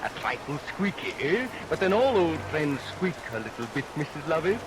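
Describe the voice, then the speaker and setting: Melodrama villain: silky, sardonic menace, animated slightly high pitch, very noisy vintage radio recording. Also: male voice, moderate pace. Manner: mock-courteous, sardonic, theatrical. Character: menacing, mocking male villain, silky and sadistic.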